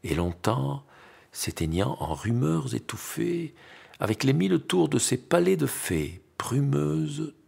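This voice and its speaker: A man reciting literary poetry in an expressive, theatrical, declamatory manner with dramatic pauses and a husky, gravelly voice. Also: mid-range voice. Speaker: poetry reader.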